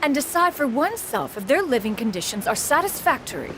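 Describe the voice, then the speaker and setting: Haughty, expressive immortal master. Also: female voice, high voice, a little fast, noisy background. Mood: haughty, measured. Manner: haughty, formal, archaic, calls herself "one". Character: proud, aloof immortal female master, secretly caring. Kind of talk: video game acting.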